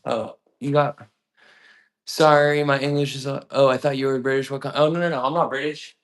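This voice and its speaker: A man's voice: medium pitch, steady tone, brisk. A young man chatting casually as he bakes, rambling and laid-back, with lots of slang. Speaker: home baker. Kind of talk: casual chat while baking.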